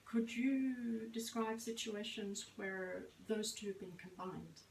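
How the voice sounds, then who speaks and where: A woman's voice: mid-range voice, slow, recorded from a distance, slight background noise. Woman coach speaking from across an echoey room. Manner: encouraging, summarizing back with many affirmations. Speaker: language coach interviewer. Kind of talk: coaching interview.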